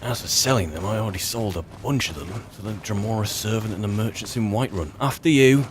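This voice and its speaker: A man with a fairly low voice, roleplaying casually as he plays a video game. He mutters wryly to himself and to the characters, with faint ambience behind him. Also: fast talker, noisy background. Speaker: gamer playing a character. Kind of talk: casual in-character roleplay commentary over gameplay.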